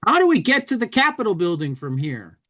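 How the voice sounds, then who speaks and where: A man in casual podcast conversation, with a lively, widely moving pitch. He reads comic lines aloud with playful theatricality, acting out little speech bubbles as he goes. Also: brisk. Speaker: podcast host reading an old humor magazine aloud. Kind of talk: casual chat with dramatic reading.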